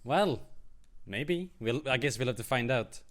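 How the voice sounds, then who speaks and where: A man's voice: mid-range voice, expressive, unhurried, fairly bright tone. A man hosting a podcast in casual chat, with a joking, teasing manner. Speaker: podcast host.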